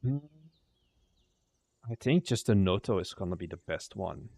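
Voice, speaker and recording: A young man talks to himself while he works, half-muttering, trailing off mid-thought and tossing in the odd curse. His voice sits a bit low with a gravelly fry. The sound is close and dry. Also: animated, measured pace, mellow, no room sound. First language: Dutch. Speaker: indie game developer streaming. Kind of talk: casual livestream, thinking aloud while working.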